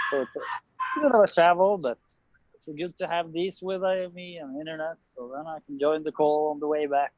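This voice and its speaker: A man calling into a group video chat from a bus on the road, talking haltingly with many fillers. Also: a little high, darkish tone, no room sound, highs cut off. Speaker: discussion group member. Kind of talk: casual video call chat. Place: on a bus while traveling.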